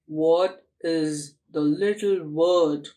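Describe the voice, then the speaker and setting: A woman's voice: low voice, steady tone, pitched below the performer's own voice, rough recording. Woman playing a teasing, coaxing big storybook monster. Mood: teasing, calm. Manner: slow, coaxing, quietly insistent. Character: big purple monster, calm, smiling, teasing, firm.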